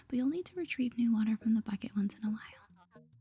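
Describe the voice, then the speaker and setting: A woman voicing a sweet, apologetic, helpful young shop colleague in a cozy video game, light, cutesy and breathy. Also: on the high side, mellow.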